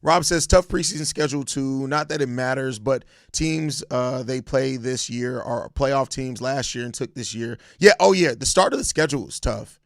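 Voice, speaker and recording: An American man with a hoarse, raspy voice worn out from overuse, chatting casually and emphatically in slang as a solo sports podcast host. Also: mid-range voice, normal speed, fairly bright tone, dry recording, studio quality. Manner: slangy, emphatic, repeats phrases. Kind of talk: casual live-stream sports chat answering viewer comments.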